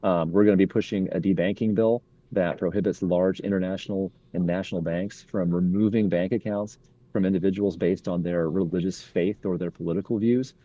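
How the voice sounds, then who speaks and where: Deep, mellow man on muffled remote audio. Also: steady tone, no echo. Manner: measured and upbeat, with filler "uh"s. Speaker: guest, legal advocate. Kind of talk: interview answers.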